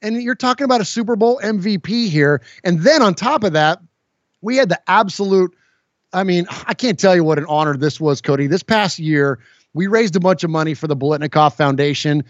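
A man in his forties talks earnestly as a podcast guest, stumbling over his words and restarting often. His voice sits slightly high for a man. The sound is dry and a little dull, as if his line were lo-fi. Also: animated, a little fast, no room sound. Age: 40s. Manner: earnest, stammering restarts, heartfelt. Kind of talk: casual podcast chat.

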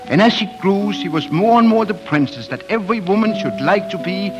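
A man's voice: high voice, lively intonation, fairly quick, lo-fi sound. A man narrating a storybook tale in a lyrical, dramatic 1940s radio style. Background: music.

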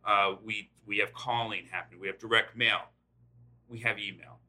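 A man's voice: on the low side, steady tone, moderate pace, pushed and bright. A man giving informal, explanatory answers as a guest in a podcast interview. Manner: informal, explanatory, checks in with "right?". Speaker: podcast guest, tech company chief executive.

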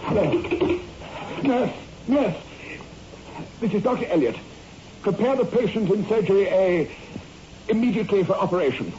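An actor playing a tormented, brooding surgeon with agitated, melodramatic delivery in a 1960s radio horror drama. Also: male voice, sits high, animated, moderate pace, long pauses. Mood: urgent. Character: tormented male surgeon obsessed with death at his hands. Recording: muffled, noisy old radio recording.

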